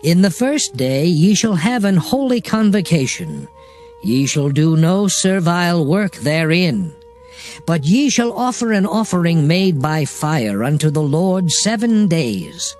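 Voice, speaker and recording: A man's voice: a little high, very animated, measured pace, a little mellow. A man playing a solemn divine lawgiver, reciting sacred law formally in a dramatized scripture reading. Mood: solemn, authoritative. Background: music.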